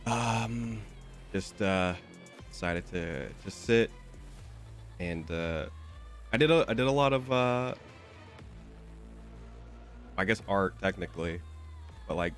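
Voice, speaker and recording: A man's voice: low voice, slow pace, long pauses, soft background music. A young man with a stuffy, slightly nasal voice, chatting casually and rambling with lots of fillers while streaming a game. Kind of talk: casual chat while gaming.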